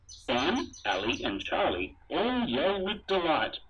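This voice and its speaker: A man playing a helmeted sci-fi trooper, reading a rhyming children's storybook aloud through a radio helmet filter in a deliberate, sing-song way. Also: on the high side, huge pitch swings, fairly bright tone, slight background noise, full character voice. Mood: animated storytelling. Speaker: storybook reader. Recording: dull, muffled radio-like sound.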